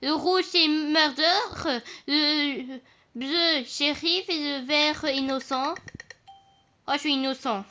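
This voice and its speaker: A woman chatting while she plays a game, hesitant and excitable, using a lot of slang. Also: high-pitched, takes it slowly, a little hiss or hum. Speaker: co-player. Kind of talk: casual chat while playing a game.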